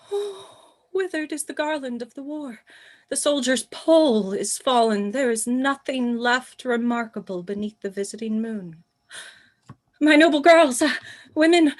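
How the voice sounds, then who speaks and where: A woman's voice: on the high side, fairly bright tone. A woman playing a grieving, imperious queen and lover in a Shakespearean radio play.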